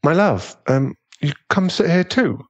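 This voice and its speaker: A man playing an affectionate, aristocratic British werewolf fiancé in an ASMR boyfriend audio roleplay, speaking in a gentle, polite, unhurried way. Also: fairly high pitch, big pitch swings, muffled recording. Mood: businesslike, calm.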